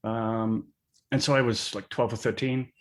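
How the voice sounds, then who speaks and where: A man raised in Australia and England, a musical's co-creator, answering interview questions in a reflective, halting way. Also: medium pitch, fairly even intonation. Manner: reflective, halting, with many fillers.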